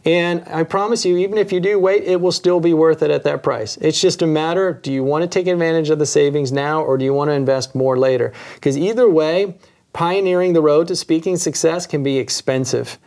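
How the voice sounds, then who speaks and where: Upbeat male webinar host pitching. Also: fairly quick, a little mellow. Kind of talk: sales pitch.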